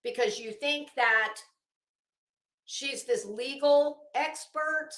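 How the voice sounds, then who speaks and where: Bright, forceful woman ranting in a roomy space. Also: high voice, takes it slowly. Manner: blunt, combative, emphatic, swearing freely. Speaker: commentary livestream host. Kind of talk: opinionated live commentary, casual rant.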